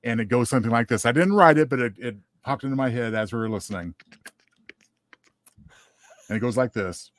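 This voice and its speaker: A man co-hosting a casual chat show, speaking in a measured, polite way. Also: mid-range voice, no room sound, clean studio sound. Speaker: show co-host.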